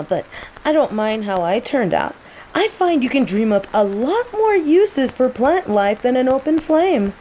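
Female actor as smug young sorceress for roleplay audio; mellow, unhurried, playfully taunting, rain behind. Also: mid-range voice, close to an everyday voice, poor audio. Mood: wry. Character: teasing young female nature mage, smug rival apprentice. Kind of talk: roleplay audio drama monologue. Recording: steady rain noise behind.

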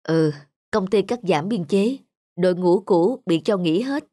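A woman's voice: on the low side, lively intonation, a little slow, warm tone, no echo. A woman voicing an earnest, reflective young husband and devoted father in a cartoon. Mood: dejected. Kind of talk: cartoon dubbing. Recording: music behind the voice.

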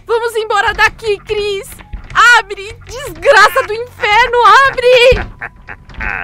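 A woman's voice: very high-pitched, big pitch swings, takes it slowly, exaggerated voice. An actress in an audio drama playing a young woman journalist, fearful but defiant, with an urgent, pleading manner. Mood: panicked.

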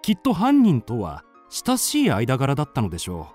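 An actor playing an earnest young amateur sleuth in a radio drama, narrating and reasoning in a deliberate, dramatic, polite way. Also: male voice, medium pitch, wildly swooping pitch, a little mellow, faint music behind. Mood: confident accusing. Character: earnest young male amateur sleuth, confidently accusing his friend. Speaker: narrator and lead character.

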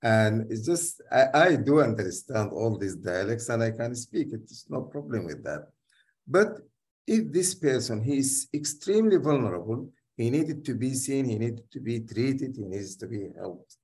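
A man's voice: medium pitch, very animated, normal speed, darkish tone. Hesitant man, a doctor. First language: Arabic. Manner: hesitant, with many restarts. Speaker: community leader and doctor. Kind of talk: casual discussion.

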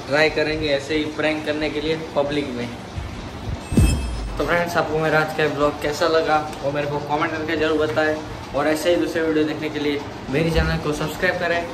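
Young man, even-toned higher voice. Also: background noise. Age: young adult. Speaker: vlogger. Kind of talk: casual vlog narration to viewers. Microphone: phone at arm's length.

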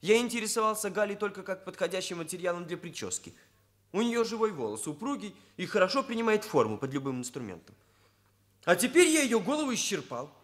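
A young hairdresser dude, high pitched n animated, super serious bookish way of talking, soviet radio drama. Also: male voice. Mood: matter-of-fact, defensive. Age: young adult. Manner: formal, bookish, deliberate phrasing. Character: earnest, stiff young male hairdresser, self-serious and matter-of-fact. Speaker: actor in a radio play.

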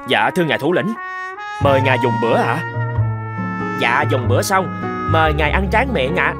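A man voicing an excitable, deferential mouse follower in a cartoon, eager to please. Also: fairly high pitch, normal speed, over loud music, natural voice. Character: excitable, deferential mice of the colony. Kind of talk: cartoon dubbing.

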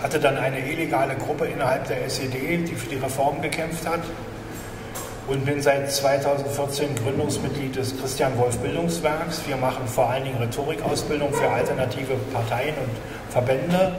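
Older man, formal panelist, medium pitch, echoey hall. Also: fairly even intonation, normal speed, a crowd in the background. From East Germany. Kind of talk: formal self-introduction at a panel. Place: large conference room indoors. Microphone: table microphone. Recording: distant, echoey noisy hall.

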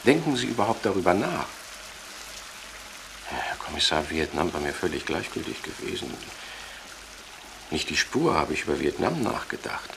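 An actor playing an earnest, infatuated lawyer who gives a halting, intense confession in a radio crime drama. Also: male voice, sits low, very animated, creaky, full character voice. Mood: bemused. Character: earnest, infatuated lawyer recounting a romance to police. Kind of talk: German radio crime drama acting, reflective narration. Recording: noisy archive radio drama recording.

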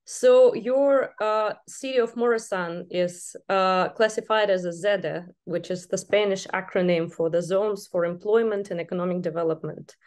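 A young woman with a mid-range voice speaks in a measured, prepared way, introducing a guest and asking questions. She talks over a laptop mic from a room with bookshelves. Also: mid-range voice, a little mellow. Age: young adult. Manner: scripted, measured reading of an introduction. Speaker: interviewer. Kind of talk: video call interview. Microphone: video call laptop mic.

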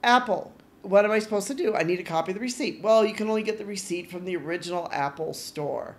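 A middle-aged woman hosting her own podcast, telling a frustrating customer-service story in a lively, exasperated way and acting out the dialogue. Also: mid-range voice, fast talker. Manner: animated, exasperated retelling with quoted dialogue. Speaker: podcast host. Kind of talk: casual solo podcast storytelling.

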